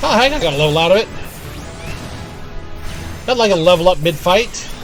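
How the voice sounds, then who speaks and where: A man's voice: sits high, lively intonation, brisk. A man giving casual live commentary on a video game, musing to himself as he plays. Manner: musing, talks to self while playing. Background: game music.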